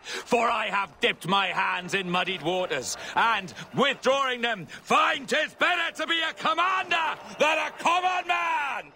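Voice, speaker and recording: An actor playing a menacing, grandiose pirate commander, declaiming theatrically in a video game drama. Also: male voice, very high voice, animated, unhurried, pushed and bright, shouting, exaggerated voice. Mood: roaring, triumphant. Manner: declamatory, rhetorical.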